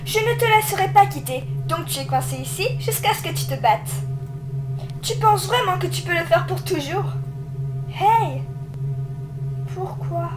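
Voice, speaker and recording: A woman's voice: very high-pitched, animated, unhurried, long pauses, over music, poor audio. A woman voicing a bitter, taunting demonic child spirit in a theatrical, intense comic dub. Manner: mocking, theatrical, emotionally intense. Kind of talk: amateur comic dub acting.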